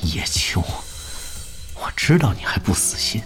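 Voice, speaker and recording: An actor playing a proud, scheming young male pro gamer in an audio drama, speaking in a calculating, deliberate way. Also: lowish voice, sing-song, fairly bright tone, faint music behind. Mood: brooding resolve.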